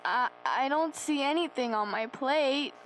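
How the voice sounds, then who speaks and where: A woman playing a subdued, sickly young child in a stylized horror drama, speaking simply and haltingly. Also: high-pitched, animated, a bit bright, slight background noise, low-quality recording.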